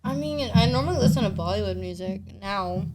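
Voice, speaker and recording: Female, 20s, medium pitch, slangy, relaxed group conversation. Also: a little fast. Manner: youthful slangy talk. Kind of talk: casual chat.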